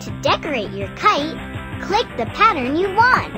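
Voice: very high-pitched, big pitch swings, a little slow, over music, exaggerated voice. A child-voiced performer playing a cheerful, encouraging little girl who coaches young players in a sing-song, exclamatory way in an educational cartoon game.

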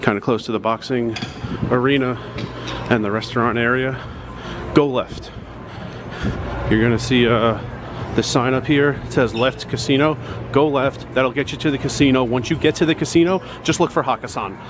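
A man's voice: mid-range voice, normal speed. A man in his thirties giving casual step-by-step walking directions, filmed on a handheld camera held at arm's length. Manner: plain, instructive, pointing the way while walking. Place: indoor casino halls and an outdoor sidewalk by a highway. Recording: traffic noise behind.

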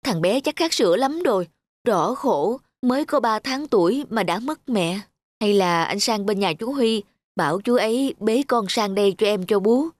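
A woman playing a compassionate young village mother in a cartoon, earnest, expressive and pleading. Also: medium pitch, normal speed, natural voice. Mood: pitying, urgent. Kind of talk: cartoon voice acting.

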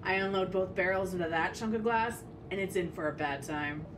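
A woman's voice: on the low side, fairly quick, natural voice. A woman playing a sarcastic, tough, fiercely loyal punk gunslinger in an audio drama, brash in manner. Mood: eager, brash. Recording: distant mic, noisy background.

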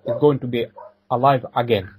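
A man, answering interview questions haltingly and repeating words and phrases. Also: mid-range voice, a little mellow, breathy edge. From Ukraine. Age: 40s. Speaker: podcast guest.

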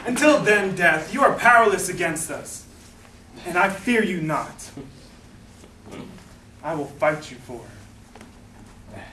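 Young man as earnest lover, high and forceful. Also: expressive, slow, long pauses, exaggerated voice. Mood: defiant. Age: young adult. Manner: earnest, declamatory. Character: devoted, defiant young male lover. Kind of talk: amateur stage play acting. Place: indoor theatre stage. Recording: echoey stage, distant camera mic, faint noise.

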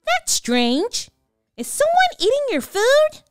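A bright, eager little girl pitched way up high, squealing as she talks, put on by a woman reading a storybook aloud. Her voice leaps all over the place, dry and close with faint music underneath. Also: wildly swooping pitch, slow pace, no room sound, exaggerated voice. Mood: excited. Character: excited young girl detective.

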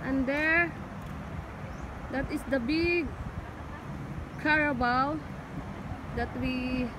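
A woman's voice: high-pitched, drawn-out words. A young adult woman giving a casual family vlog tour outdoors in a park, pointing things out to viewers, recorded on a handheld phone. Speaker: family vlogger. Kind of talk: casual vlog narration while walking. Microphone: handheld phone camera. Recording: outdoor phone audio with noise.

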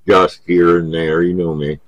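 A man's voice: low voice, little pitch movement, normal speed, no echo, highs cut off. A man chatting casually over CB radio in an easygoing, folksy, hesitant way. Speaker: CB radio operator. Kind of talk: casual radio contact chat.

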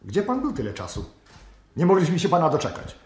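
A man's voice: a little high, wildly swooping pitch, a little fast, a little mellow. An actor playing an impatient male police colonel in a 1960s radio play. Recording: echoey room, faint noise.

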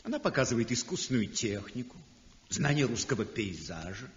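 A man's voice: mid-range voice, very animated, moderate pace, slight background noise. Polite art show guy, old radio play, echoey hall. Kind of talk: 1960s radio drama acting. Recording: dull old radio recording with hall echo.

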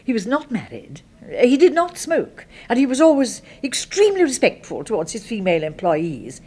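A woman's voice: a little high, wildly swooping pitch, moderate pace, mellow. An actress playing a prim, self-righteous British secretary in a 1970s radio drama, precise and prissy in her speech. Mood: wistful, adoring. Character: prim, bitter, self-righteous spinster secretary, seething with disgust.

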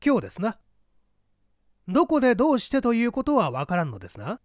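A man voicing a pompous, brisk older government chief and bluff father with old-fashioned gentlemanly speech in an audiobook story. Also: extremely high pitch, huge pitch swings, dry recording, clean studio sound, voice pushed up, exaggerated voice. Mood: scoffing, incredulous.

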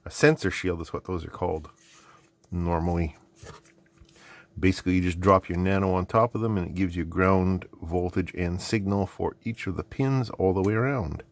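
A man's voice: low-pitched, normal speed, a little hiss or hum. A middle-aged man giving casual unboxing commentary, rambling and thinking aloud as he goes. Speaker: host. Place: indoor hobby workbench.

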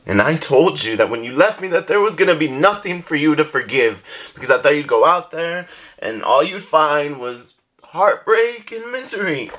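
A man performing a dramatic monologue as a jealous, heartbroken young lover, halting and tearful. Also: on the high side, animated, fairly bright tone, pitched above the performer's own voice. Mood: subdued grief. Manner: halting, emotional, breaking into whispers and cries. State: crying. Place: small indoor room.

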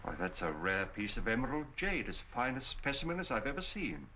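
Gentleman sleuth, courteous, lively intonation, articulate, noisy 1940 film sound. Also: medium pitch, a little fast, lo-fi sound. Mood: absorbed. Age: 50s. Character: courteous, shrewd middle-aged gentleman detective. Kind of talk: 1940s film acting.

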